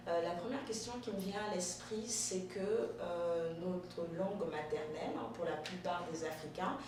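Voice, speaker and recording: An interviewer asking questions politely, often hesitating with fillers. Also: mid-range voice. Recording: echoey room, mic far off, clear noise.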